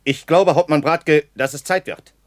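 An actor playing a brusque, commanding German army major in a 1960s radio play, speaking in clipped military manner. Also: male voice, on the high side, normal speed, dry recording, close to an everyday voice. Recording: dry early 1960s radio studio.

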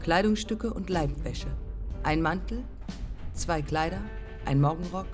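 Radio drama: actress as embittered woman flier, lowish voice, terse phrases with weighty pauses, faint music underneath. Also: close to an everyday voice. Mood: bitter, matter-of-fact. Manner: terse, deliberate, pausing between short phrases. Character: proud, embittered pioneer woman aviator looking back. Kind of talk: German radio play monologue, clipped fragments.

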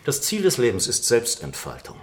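An actor playing a suave, cynical aristocratic tempter in a German radio play, speaking in a languid, measured way. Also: male voice, medium pitch, huge pitch swings. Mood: persuasive, philosophical. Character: suave, cynical English lord, seductive tempter.

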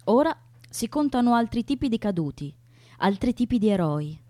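A woman giving a scripted theatrical reading as a narrator, in a grave, deliberate manner. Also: on the high side, sing-song, mellow. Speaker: stage narrator and reader. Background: acoustic guitar music.

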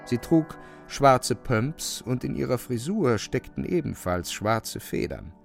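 A man's voice: mid-range voice, sing-song, slow, vocal fry, soft background music. A man narrating a literary radio play, telling the scene as a scripted story.

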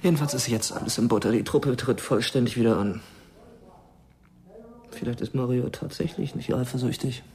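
A man's voice: mid-range voice, moderate pace, a little mellow, natural voice. An actor playing a guarded, gossiping show-troupe man in a radio crime drama, talking in terse, offhand slang.

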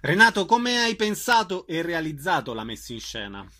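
A man's voice: fairly high pitch, very animated, moderate pace, pushed and bright. A man putting questions and comments to a guest as a conversational interviewer. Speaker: radio interviewer.

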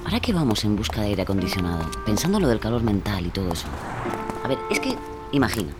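A woman's voice: extremely low pitch, very animated, quick pace. A woman with a Spanish accent from Spain playing an insistent, argumentative friend in colloquial scripted audio comedy. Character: insistent, argumentative female friend with odd theories. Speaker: co-host and actor. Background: music.

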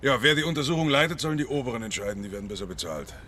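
An actor playing a male state police investigator, brisk and dryly sardonic, in a radio drama. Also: medium pitch, animated, sharp tone, slight background noise. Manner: brisk, dry, informal with colleague.

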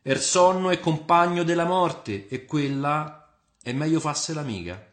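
A man voicing a tipsy, jovial older Roman popular leader, loud, singsong and drawling, in an audio storybook. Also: on the high side, a little slow, echoey room, pitched above the performer's own voice, close to an everyday voice. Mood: philosophical teasing.

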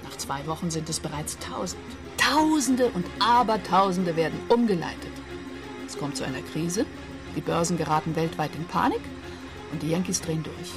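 An actress playing a forceful informant in a radio crime drama, speaking emphatically in rhetorical questions and short statements. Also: female voice, on the low side, animated, moderate pace, over music. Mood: urgent, emphatic. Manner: emphatic, rhetorical, building her case.

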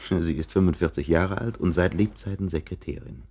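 A man's voice: sits low, fairly quick, darkish tone. A man playing a chatty, confiding narrator in a radio play, musing and interrupting himself with asides. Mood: amused, musing. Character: chatty, confiding male narrator showing listeners around an apartment.

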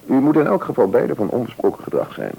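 An actor playing a sober, cautious official in a radio play, explaining formally and in measured words with a Netherlands Dutch accent. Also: male voice, mid-range voice, sing-song, creaky, slightly rough, narrow, tinny audio, full character voice. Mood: businesslike.

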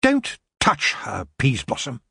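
A man plays a merciless elf lord with open contempt, each word drawn out slow and menacing. His voice swoops up and down as he sneers, and it sounds close and dry. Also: medium pitch, huge pitch swings, vocal fry, pushed and bright, breathy edge, no room sound, pitched above the performer's own voice, exaggerated voice. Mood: cold menace.

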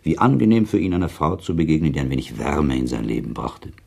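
A man playing a worldly, coolly ironic sceptic in a German radio play, with a gravelly, husky voice and deliberate, argumentative delivery. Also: a bass voice, very animated, mellow, full character voice.

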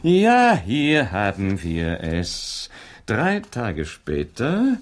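An actor playing an eccentric detective who reasons aloud in a German radio drama, with a husky, gravelly voice and a musing, declamatory manner. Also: male voice, fairly low pitch, huge pitch swings, full character voice. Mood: intrigued, deliberate. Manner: theatrical, musing, declaims read-out notices slowly.

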